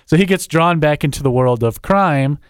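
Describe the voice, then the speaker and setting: Wry deadpan man summarizing a film. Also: a little high, clean tone, no room sound, clean studio sound. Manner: wry, deadpan, quick asides. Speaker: film critic guest. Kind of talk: casual chat, plot summary. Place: studio.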